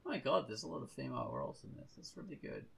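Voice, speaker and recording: A man's voice: fairly high pitch, huge pitch swings, rapid-fire, gravelly, slightly rough. A man hosting a podcast as a casual chat, rambling along in a jokey way. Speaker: podcast host.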